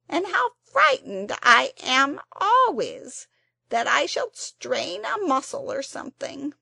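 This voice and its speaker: A woman voicing a peevish squeaky sand creature. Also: fairly bright tone, dry recording, exaggerated voice. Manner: peevish complaining, squeaky when upset. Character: grumpy, self-pitying male sand fairy creature. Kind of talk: storybook character voice.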